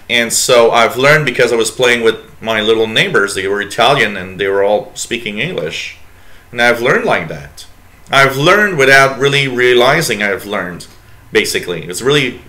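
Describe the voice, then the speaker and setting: Bright-voiced French-Canadian man, chatty host. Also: mid-range voice. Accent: Quebec French. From Quebec, Canada. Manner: rambling, reads chat messages aloud, many fillers. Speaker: radio show host. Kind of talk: casual live-stream chat.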